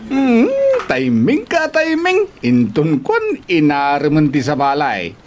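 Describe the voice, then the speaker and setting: A man with an Ilocano accent playing a teasing, excitable buddy from work in an audio drama. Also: on the high side, takes it slowly, heavily acted. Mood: playful teasing. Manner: playful, exaggerated teasing.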